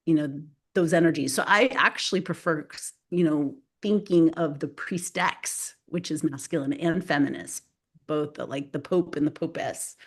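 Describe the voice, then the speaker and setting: A woman's voice: deep voice, normal speed, breathy edge. A woman whose first language is Spanish, a guest author talking loosely in an interview with many fillers and restarts. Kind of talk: casual spoken interview.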